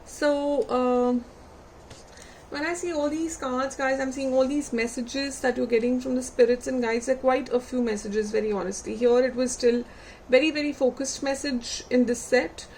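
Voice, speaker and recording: A woman reading tarot cards for her viewers, unhurried and reflective, putting gentle questions to them and losing her voice. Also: sits high, steady tone, a little hiss or hum. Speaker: tarot reader.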